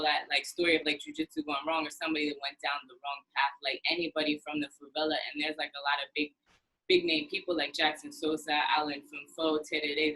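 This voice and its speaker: A woman hosting a podcast, telling stories in casual chat, animated and full of "like". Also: low voice, rough recording.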